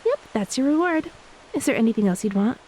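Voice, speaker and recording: A woman playing a gentle, playful ex-mermaid wife in a romantic audio roleplay, speaking tenderly and teasingly to a beloved spouse. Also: a little high, quick pace, close to an everyday voice, poor audio. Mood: affectionate, teasing. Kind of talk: romantic audio roleplay, intimate scripted acting. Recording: steady hiss behind the voice.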